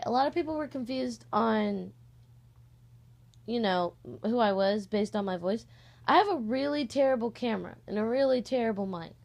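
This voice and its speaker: A woman's voice: fairly high pitch, gravelly, darkish tone. A sixteen-year-old girl giving a casual, rambling, hesitant announcement in an informal vlog style, on a cheap microphone. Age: 16, teenager. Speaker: amateur voice-acting channel creator. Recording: cheap, slightly distorted microphone.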